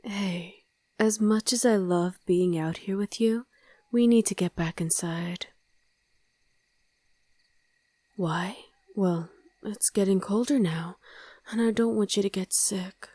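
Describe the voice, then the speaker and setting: A woman performs a doting vampire wife in an intimate ASMR roleplay. Her voice is dark, soft and breathy, often slipping into a whisper. She is affectionate and gently teasing, heard very close and dry. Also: mid-range voice, unhurried, dry recording, close to an everyday voice. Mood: caring.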